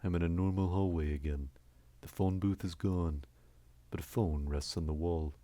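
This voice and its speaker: Male actor as world-weary noir detective, very low voice, terse wry narration for horror audio drama. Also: steady tone, normal speed, dark tone, no echo, natural voice. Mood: wry, grim. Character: hard-boiled, world-weary male detective narrating his own nightmare.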